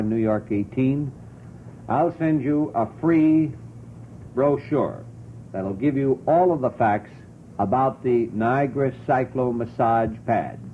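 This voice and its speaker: A man hosts a late-night radio show in a conversational, courteous way, ad-libbing his talk and sponsor reads. His pitch is average. The sound is a narrow, vintage radio recording with faint noise and rumble. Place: radio studio. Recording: muffled 1950s radio broadcast, noisy, rumbling.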